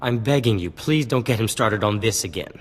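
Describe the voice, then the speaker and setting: Snooty professor with swooping delivery. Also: male voice, lowish voice, lively intonation, a little fast. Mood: exasperated. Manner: haughty, wordy, theatrical. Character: snooty, exasperated male professor and weapon inventor. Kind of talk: cinematic video game dialogue. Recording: hall reverb, faint music behind.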